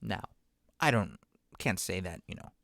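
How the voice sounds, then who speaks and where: Low, gravelly-voiced man rambling casually. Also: huge pitch swings, unhurried, a bit bright, no echo, studio quality. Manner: rambling, self-deprecating; conversational, many fillers and asides. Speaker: podcast speaker. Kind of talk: casual monologue.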